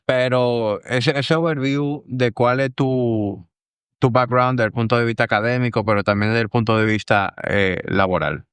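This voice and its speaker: A man's voice: mid-range voice, fairly even intonation, normal speed, fairly bright tone, clean tone, dry recording. A man with a Dominican accent hosting a podcast, chatting casually with slangy, conversational phrasing. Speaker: podcast host. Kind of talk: casual interview chat.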